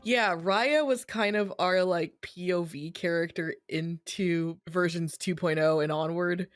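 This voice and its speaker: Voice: medium pitch, fairly even intonation, unhurried, soft background music. A podcast co-host leading the talk in a wry, mock-serious, casual way.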